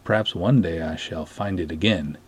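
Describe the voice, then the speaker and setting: A man acts out an enchanting, mysterious young woman in a storybook tale. The delivery is slow and soft, in a low, husky register.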